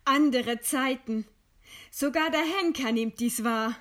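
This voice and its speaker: A woman performs an imperious lady condemned to die, in a literary radio play. The voice sits fairly high. The delivery is formal and composed, with proud defiance in the face of death. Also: measured pace, close to an everyday voice. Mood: bitter, resigned. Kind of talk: radio play acting, stylised literary dialogue.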